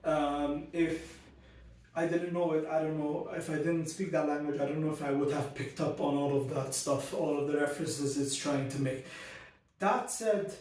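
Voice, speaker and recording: A man's voice: a little high, darkish tone, distant mic, slight background noise. A man giving a casual, ranty book review, full of fillers and restarts. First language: not Arabic; Arabic learned as second language. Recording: very echoey room, voice from off mic.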